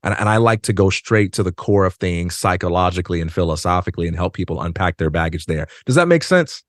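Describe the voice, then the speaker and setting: A man in his forties, a podcast guest talking in an earnest, measured way and slowing down to stress points. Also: deep voice, animated, no room sound, studio quality. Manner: earnest, measured, slows down for emphasis. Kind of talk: reflective conversation. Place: dark studio. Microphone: studio mic on a stand.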